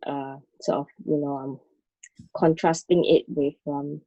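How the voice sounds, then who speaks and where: A woman talks reflectively and casually with a fellow artist, feeling her way through her sentences. Her voice is lowish and soft-edged in tone, picked up dry with a slightly dull sound. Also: no room sound. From Singapore. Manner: hesitant, self-conscious, searching for words.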